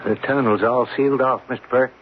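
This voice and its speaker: An actor playing a nervous, deferential assistant who speaks plainly and hesitantly in a radio mystery drama. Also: male voice, medium pitch, fairly even intonation, brisk, faint music behind, close to an everyday voice. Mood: uneasy, obedient. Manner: hesitant, plain-spoken, uneasy. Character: nervous, deferential male assistant to an underground explorer. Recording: tinny, band-limited old radio recording, faint noise.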